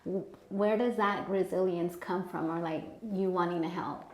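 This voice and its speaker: A woman's voice: on the low side, steady tone, normal speed, a little hiss or hum. A woman hosting an interview, asking questions in a friendly, encouraging way with many "like" fillers. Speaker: podcast host. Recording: echoey room.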